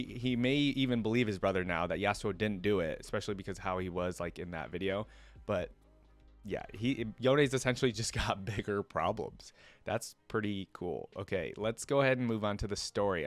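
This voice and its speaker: A man's voice: lowish voice, dry recording. A man hosting a reaction video, reading lore aloud and adding informal, casual fan commentary.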